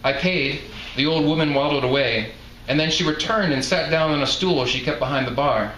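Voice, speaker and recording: Bright-voiced man reading fiction, echoey room. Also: fairly high pitch, normal speed. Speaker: author reading his novel. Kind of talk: literary reading aloud, first-person narration. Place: live reading event indoors. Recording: echoey room, faint background noise.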